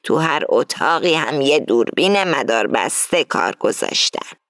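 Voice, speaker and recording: A woman reading as an older female gang leader who lays out a heist plan firmly and deliberately. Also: extremely low pitch, animated, fairly bright tone, dry recording, studio quality, voice pushed down. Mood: scheming, instructing. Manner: firm, explaining slowly and deliberately.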